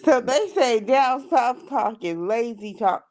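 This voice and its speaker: A woman from California in a casual panel chat, talking in a measured, laid-back way. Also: on the high side, lively intonation, darkish tone, a little hiss or hum.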